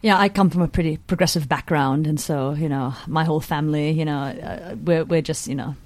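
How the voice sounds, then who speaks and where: A woman's voice: sits low, a little fast, a little mellow, no room sound. Rambling woman in her thirties. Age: 30s. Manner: rambling, many fillers. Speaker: guest. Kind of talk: casual panel discussion.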